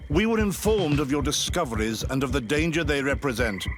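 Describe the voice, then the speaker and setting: An actor playing an imperious, zealous inquisitor villain in a fantasy video game. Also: male voice, a little high, animated, fairly quick, noisy background, close to an everyday voice. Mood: smugly commanding. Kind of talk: scripted fantasy game dialogue. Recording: game music behind the voice.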